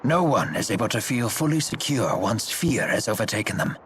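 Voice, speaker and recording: An actor playing a courteous, wise older male steward and strategist in a game, speaking formally and with measured care. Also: on the low side, sing-song, fairly bright tone, slight background noise. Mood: calm, wry. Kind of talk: English game dub acting. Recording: game soundtrack music behind the voice. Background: background music.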